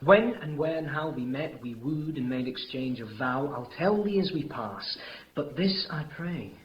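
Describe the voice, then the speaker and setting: A man's voice: a little high, expressive, measured pace. An actor playing an earnest, lovesick young man in a 1950s radio Shakespeare play, with a poetic, ardent delivery. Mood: ardent pleading. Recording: dull, noisy old radio recording.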